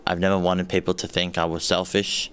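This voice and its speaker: A young man in his late teens reads a reflection in a steady, sincere way. His voice is very low and clean. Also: a little fast, clear, resonant tone. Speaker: student leaving high school. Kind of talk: reading a prepared reflection.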